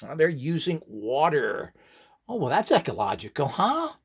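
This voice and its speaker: A man with a fairly high-pitched voice and a heavy gravelly creak delivers an informal lecture. He is opinionated and emphatic, and he wanders into digressions as he explains things like an instructor. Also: wildly swooping pitch, normal speed. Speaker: instructor in radionics.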